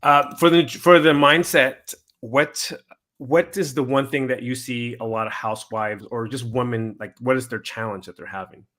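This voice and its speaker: A man hosting a casual interview, haltingly repeating and rephrasing his questions as he goes. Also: medium pitch, fairly even intonation, fairly bright tone. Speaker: interviewer, host.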